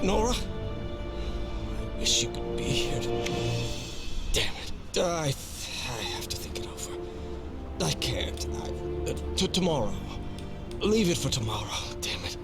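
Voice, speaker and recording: An actor playing an anguished, obsessed husband in a dramatic video game, reading out his letter in an agitated, halting way. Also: a little high. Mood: desperate hope, then anguished, torn. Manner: agitated, halting, self-doubting. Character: anguished, obsessed husband agonising over a desperate ritual. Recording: clear music behind the voice. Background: game music.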